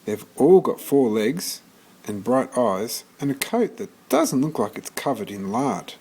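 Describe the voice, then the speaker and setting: A man's voice: mid-range voice, big pitch swings, unhurried, warm tone, slightly rough, exaggerated voice. A man voicing a doubtful, matter-of-fact woman who works in an animal shelter office in a storybook, questioning and businesslike. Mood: sceptical, businesslike.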